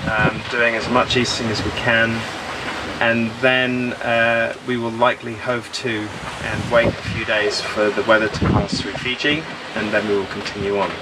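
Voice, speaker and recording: A man in his fifties giving a candid, relaxed update to a camera held at arm's length on a sailboat. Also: on the low side, normal speed. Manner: relaxed, candid, laid-back. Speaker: sailing vlogger. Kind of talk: casual chat on camera. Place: outdoors on a sailboat cockpit. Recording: loud wind noise. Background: loud wind.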